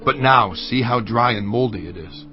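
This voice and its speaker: Humble weary envoy, man acting medium-pitched. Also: normal speed, highs cut off, music in the background, lightly acted. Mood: humble pleading. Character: weary male envoy, humbly pleading, from afar. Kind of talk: dramatized audio Bible acting.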